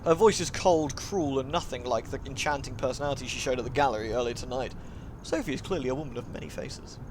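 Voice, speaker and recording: A man's voice: mid-range voice, fast talker, background noise. A man streaming a visual novel, reading the narration aloud dramatically and breaking into playful, chatty asides to his viewers. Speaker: live streamer.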